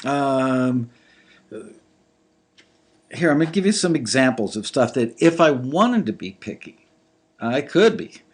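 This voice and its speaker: A man with a slightly gravelly voice giving casual live commentary on listeners' songs, thinking aloud with lots of fillers. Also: mid-range voice, very animated, measured pace, pauses often. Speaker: music industry reviewer critiquing listener songs.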